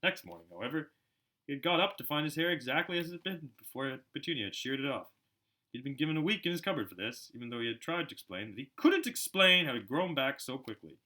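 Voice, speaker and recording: A man narrating a storybook aloud in an expressive, dramatic way, as an amateur audiobook reader. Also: mid-range voice, fast talker, rough recording. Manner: animated, dramatic.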